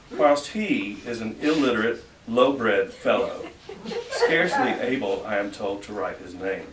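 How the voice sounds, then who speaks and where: A man's voice: mid-range voice, reverberant. Male live reader, formal and theatrical letter reading, distant mic with faint background noise. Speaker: reader at a library event. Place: library reading room at a public event.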